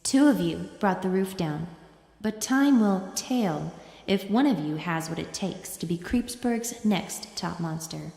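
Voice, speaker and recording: A woman's voice: lowish voice, lively intonation, measured pace, vocal fry, darkish tone. Woman as a showy feline pageant host, hall reverb. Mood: showy announcing. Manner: theatrical, announcing contestants. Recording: echoey hall with long reverb.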